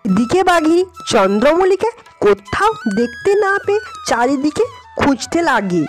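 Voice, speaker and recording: Female storyteller for cartoon fairy tale: high, swooping delivery over background music. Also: sing-song, moderate pace.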